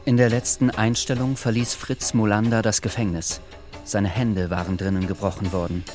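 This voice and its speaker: A man narrating a literary radio play as a sober, reflective storyteller, in a measured manner. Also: lowish voice, flat delivery, a little mellow, soft background music, lightly acted. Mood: measured, grave. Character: sober, reflective male storyteller of a wartime novel.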